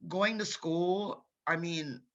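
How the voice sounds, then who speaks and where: A man's voice: fairly high pitch, slow, fairly bright tone, muffled recording. A young man from Ohio interviewing a guest, talking earnestly and casually with plenty of "like". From Gallipolis, Ohio, United States. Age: young adult. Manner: earnest, conversational, filler "like".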